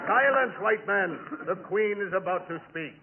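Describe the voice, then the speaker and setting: An actor playing a solemn, fearful island guide, melodramatic and portentous, in a 1940s radio comedy. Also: male voice, high voice, animated, moderate pace, slight background noise. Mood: urgent warning. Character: Friday, solemn, fearful male island guide warning of doom. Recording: dull 1940s radio recording.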